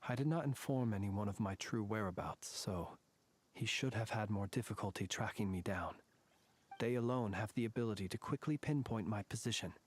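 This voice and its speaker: A formal, wry soldier-scholar. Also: male voice, fairly low pitch, normal speed, breathy edge. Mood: thoughtful. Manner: formal, wordy and dryly wry. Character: formal, world-weary adult male soldier-scholar. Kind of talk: game voice acting.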